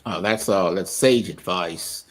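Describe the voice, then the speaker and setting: A man's voice: deep voice, takes it slowly. A man hosting an interview, asking easy questions and giving brief affirmations. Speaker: interview host.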